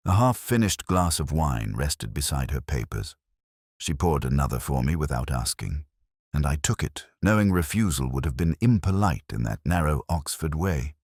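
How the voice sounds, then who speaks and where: A man narrating a scripted first-person detective story in measured, literary phrasing. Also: extremely low pitch, a little mellow, no echo, studio quality.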